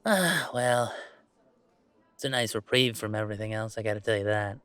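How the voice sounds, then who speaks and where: A man's voice: fairly low pitch, animated, normal speed, a bit bright, smooth. A man playing a weary but fond werewolf gamer who streams, bantering and complaining in a conversational roleplay audio. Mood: fond, earnest. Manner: sighing, bantering, mock-defensive complaining. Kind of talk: one-sided roleplay audio drama, conversational.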